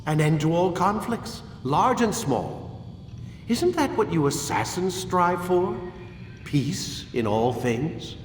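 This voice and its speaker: Snooty older evil scientist in a game, cold, very up and down, echoey with some rumble. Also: male voice, sing-song, slow pace, heavily acted. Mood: condescending, cold. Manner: condescending, theatrically emphatic. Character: condescending, cold older male scientist, captor with grand designs. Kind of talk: video game cutscene acting. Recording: echoey room with background rumble.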